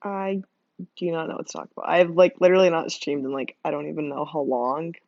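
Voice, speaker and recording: A young woman with a hoarse, worn-out voice chatting casually with her stream viewers, laughing often and rambling with lots of fillers. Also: deep voice, fairly even intonation, a little fast, a little mellow, no room sound. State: hoarse, losing voice from screaming. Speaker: livestreamer drawing art. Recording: dull lo-fi dry mic sound.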